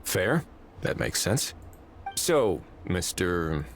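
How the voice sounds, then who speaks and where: An actor playing a composed, stern investigator in a video game, giving orders in a measured, formal, authoritative way. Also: male voice, low voice, sing-song, slight background noise, full character voice. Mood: probing, cool. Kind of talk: video game character dialogue.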